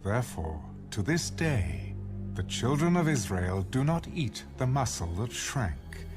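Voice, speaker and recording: A man's voice: mid-range voice, very animated, a little slow. A man reading scripture as an audio Bible narrator in a scripted storytelling style. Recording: narration over loud music bed.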